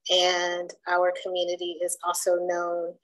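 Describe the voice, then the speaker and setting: A middle-aged woman from North Carolina, soft-spoken and measured, presenting research to a coalition over a webcam call from a room at home. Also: lowish voice, monotone, poor audio. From Durham, North Carolina. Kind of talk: informational presentation on a video call. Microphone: video call webcam.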